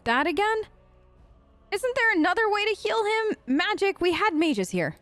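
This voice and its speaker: A woman's voice: very high voice, very animated, moderate pace, a bit bright, no echo, faint music behind. A woman hosting a let's play, improvising role-play dialogue and commentary in an informal, conversational way.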